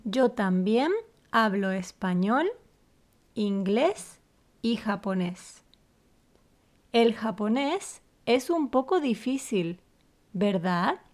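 A woman from the Canary Islands, a teacher co-hosting a slow, scripted beginner lesson with clear, deliberate phrasing. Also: medium pitch, animated, darkish tone. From Canary Islands, Spain. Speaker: podcast co-host, Spanish teacher.

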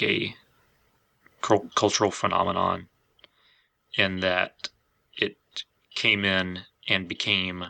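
A man's voice: low-pitched, gravelly, sharp tone. A man hosting a podcast, talking casually and feeling out his phrasing as he goes. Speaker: podcast host.

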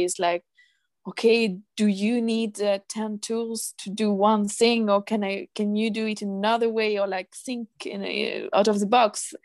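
Woman, middle-pitched voice, dry close sound, thoughtful, pausing for words. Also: a little mellow, no echo. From France. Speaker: guest, zero waste association founder. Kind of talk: podcast interview.

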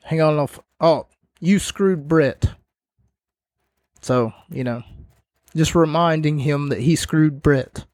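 Casual, slow-paced man reviewing wrestling. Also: a little high, a little mellow, dry recording. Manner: rambling, jokey asides. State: voice starting to go. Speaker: wrestling show reviewer, host. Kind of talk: casual spoken review and recap.

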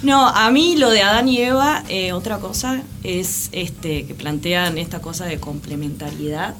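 Tentative Argentine woman over clear music. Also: on the low side, unhurried. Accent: Argentine Spanish. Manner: tentative, searching for words. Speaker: radio show co-host. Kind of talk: casual chat. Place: radio studio with music behind.

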